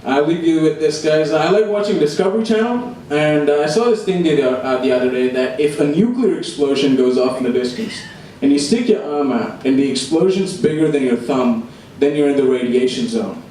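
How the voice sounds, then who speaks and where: A young man delivers stand-up comedy in a fairly high voice, with deadpan timing. He is heard from far off in a very echoey room, with clear, heavy background noise. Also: fairly quick. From immigrated to Australia at age eleven. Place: indoor comedy room before a live audience.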